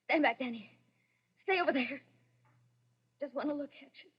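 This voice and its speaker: A woman's voice: sits high, normal speed, close to an everyday voice. An actress playing a desperate, lovestruck woman with a deadly secret, pleading emotionally in 1940s radio melodrama. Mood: tender despair. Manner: breathless, pleading, intensely emotional. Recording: dull vintage radio recording.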